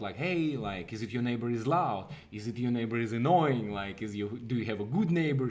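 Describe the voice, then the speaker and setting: Animated man telling a story. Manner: animated, acts out quoted voices with big pitch jumps. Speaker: co-host of a live stream. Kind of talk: casual storytelling chat. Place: indoor room with a virtual city backdrop.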